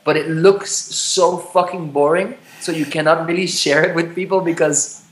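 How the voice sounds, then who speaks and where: A man's voice: on the high side, fairly even intonation, moderate pace. Reflective man in his late thirties, echoey room. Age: 30s. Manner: hesitant, restarts often, swears casually. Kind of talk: candid conversation. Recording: roomy echo.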